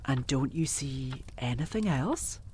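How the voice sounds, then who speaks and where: An older Victorian housekeeper in a ghost story. Her husky voice moves slowly with wide swoops of pitch, deferential and emphatic, as if scandalised by everything she must report. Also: lively intonation, mellow, heavily acted. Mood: grave, confiding. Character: older female housekeeper, deferential, scandalised, loyal, easily shocked. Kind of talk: audio drama acting of Victorian gothic ghost story.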